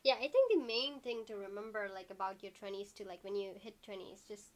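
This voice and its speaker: A woman in her early twenties chatting casually as a podcast co-host, rambling with lots of fillers and quick asides. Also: mid-range voice. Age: 20s. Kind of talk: casual chat.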